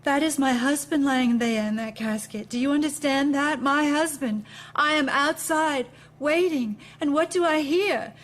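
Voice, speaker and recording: An actress playing a grieving, indignant widow in an audio drama, speaking in pointed, broken phrases. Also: female voice, high-pitched, a bit bright. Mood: wounded, indignant. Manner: slow, fragmented, pointed phrases. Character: grieving, indignant young widow, a first lady.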